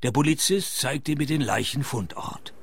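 An actor playing a sardonic private detective who narrates a radio play in a dry, laconic, deadpan way. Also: male voice, mid-range voice, normal speed, gravelly, slightly rough, no echo. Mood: matter-of-fact.

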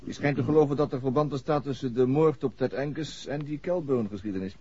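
An actor playing a dismissive, offhand suspect with a Netherlands Dutch accent in a 1960s radio drama. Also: male voice, medium pitch, fast talker, warm tone, slightly rough, natural voice. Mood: scoffing. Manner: scoffing, offhand. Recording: muffled old radio recording.

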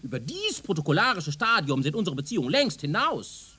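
Zealous professor, high-pitched, swooping delivery. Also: male voice, big pitch swings, fairly quick, bright, forceful tone, slightly rough, exaggerated voice. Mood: earnest, insistent. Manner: pedantic, lecturing, insistent. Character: zealous, self-assured male professor of speculative science. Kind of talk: German radio drama acting.

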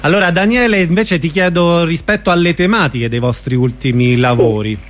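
Male radio host, slightly high voice, easygoing questioner. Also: a little fast. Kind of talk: radio interview.

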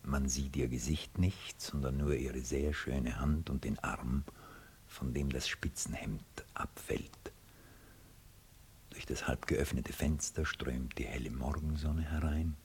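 A man narrating stage directions for a 1960s radio play in a measured, formal, precise manner. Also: extremely low pitch, fairly even intonation, a little mellow. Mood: descriptive. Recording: faintly hissy old radio recording.

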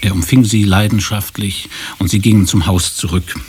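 A man's voice: lowish voice, fairly even intonation, moderate pace, darkish tone, a little hiss or hum. A man narrating a scripted radio drama as storyteller.

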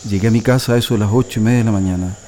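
A man's voice: fairly low pitch, fairly even intonation, racing, a little mellow, slight background noise, lightly acted. A Chilean man playing a former printing worker and resistance militant telling his story in a first-person radio drama. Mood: reflective. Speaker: narrator giving testimony in a radio drama.

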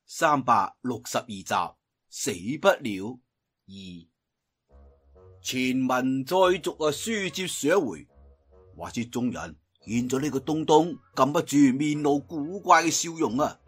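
Male Cantonese storyteller, very animated, slightly high and husky, dramatic serial narration over faint music. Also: measured pace. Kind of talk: dramatic traditional audiobook storytelling.

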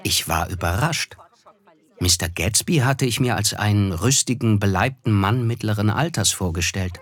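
An actor playing a polite young man who recounts his memories in a German radio drama, measured and reflective. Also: fairly low pitch, slightly rough. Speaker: narrator and character. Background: music.

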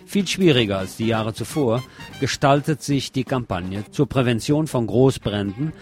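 A man's voice: mid-range voice, expressive, normal speed, darkish tone. A man presenting radio news, reading from a script with the odd informal aside. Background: music.

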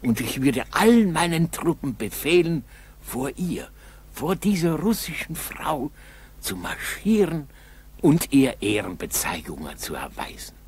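An actor playing a kindly old Russian village grandfather in a 1960s radio play, chatty, coaxing and husky. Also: a little high, big pitch swings, a little mellow, full character voice. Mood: proud, moved. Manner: folksy, coaxing, halting storytelling. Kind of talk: 1960s German school radio play acting.

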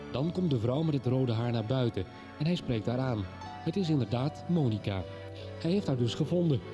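A male announcer reading a scripted episode recap in a measured, even way. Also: mid-range voice. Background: music.

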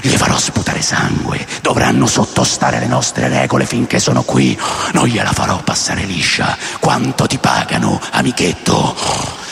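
Man acting a gravelly, furious police chief. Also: low-pitched, sing-song, normal speed, fairly bright tone, long reverb tail, pitched below the performer's own voice, full character voice. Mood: scornful, menacing. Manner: menacing, cursing. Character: furious foul-mouthed police chief, threatening and scornful. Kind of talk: radio drama acting.

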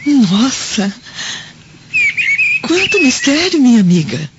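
A woman's voice: high voice, sing-song, slow pace, full character voice. A Brazilian woman playing a worried, genteel Roman wife and mother in a radio drama, in a plaintive, theatrical manner. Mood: surprised, doubtful. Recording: dull radio recording with clear noise.

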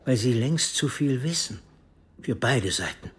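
An actor in a radio drama playing a cool, secretive intelligence officer who speaks formally and with measured control. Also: male voice, mid-range voice, expressive, fairly bright tone, slightly rough, heavily acted. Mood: calm, controlled. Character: cool secretive East German intelligence officer, frankly world-weary.

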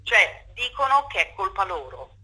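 A woman's voice: mid-range voice, big pitch swings, normal speed, bright, forceful tone. A woman speaking emphatically and wordily in a spontaneous interview over the phone, often checking for agreement. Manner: emphatic, impassioned, wordy, often seeks agreement. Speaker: theatre director being interviewed.

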